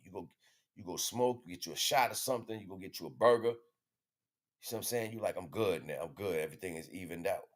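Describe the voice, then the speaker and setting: An American man giving a casual spiritual monologue as a livestream host, in slangy, profane, conversational speech. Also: lowish voice.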